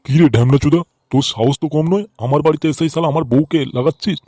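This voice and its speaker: Dark-voiced angry cartoon husband acted by a man. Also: on the high side, rapid-fire, slight background noise, lightly acted. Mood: angry, accusing. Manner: threatening, swearing. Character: furious foul-mouthed cartoon husband.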